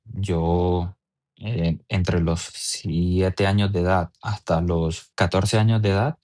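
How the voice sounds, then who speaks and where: Deep-voiced young Venezuelan man. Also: dark tone. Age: 20s. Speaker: athlete interviewee. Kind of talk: personal storytelling in an interview.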